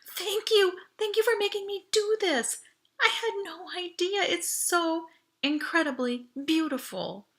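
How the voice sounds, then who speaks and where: A woman voicing a nervous, excitable teenage girl in a sci-fi storybook, small-voiced and easily amazed. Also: very high-pitched, measured pace, voice pushed up, heavily acted. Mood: awestruck, gushing. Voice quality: small, breathy, emotional voice. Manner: exclaiming and questioning, easily amazed.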